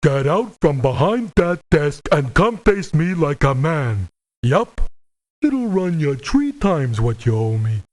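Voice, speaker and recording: A combative, stubborn hired gun in a video game. His voice is slightly high yet dark in tone, and he delivers terse, folksy lines very slowly. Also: sing-song. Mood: gruff, defiant. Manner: terse, colloquial, confrontational. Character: grumpy, combative male mercenary, stubborn and folksy. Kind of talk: video game character voice acting.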